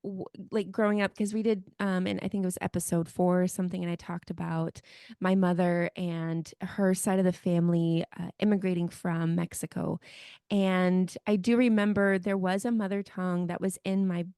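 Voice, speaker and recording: A woman's voice: lowish voice, flat delivery, moderate pace, dark tone, clear, resonant tone, no echo, studio quality. American woman co-hosting a podcast. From United States. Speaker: podcast co-host. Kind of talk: casual conversation.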